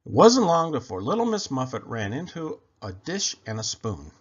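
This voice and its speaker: A man narrating a children's picture book aloud in an expressive storytelling style. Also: mid-range voice, moderate pace, slightly rough. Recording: dull lo-fi recording.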